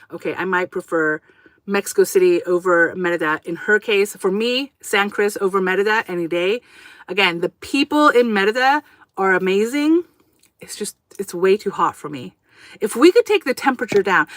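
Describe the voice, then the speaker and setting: A woman's voice: mid-range voice, bright, forceful tone, dry recording. A woman whose first language was Japanese, hosting a casual livestream from inside her RV, talking in a rambling, self-correcting way and reading out viewer comments. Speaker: livestream host. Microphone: camera on a tripod.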